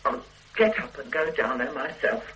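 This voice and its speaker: An actress playing a fussy, complaining wife confined to bed with a bad back, in a radio drama. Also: female voice, low voice, animated, moderate pace, fairly bright tone, poor audio. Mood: peevish. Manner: fussy, petulant and demanding. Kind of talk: 1970s radio drama acting. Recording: old radio recording with faint hiss.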